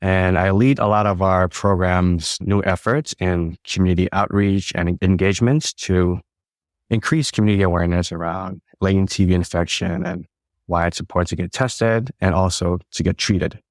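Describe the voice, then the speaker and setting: A man from the Marshall Islands with a very deep voice. He speaks fluently and professionally as an interview guest. The sound is dry and close. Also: steady tone, normal speed, no echo. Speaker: disease intervention specialist.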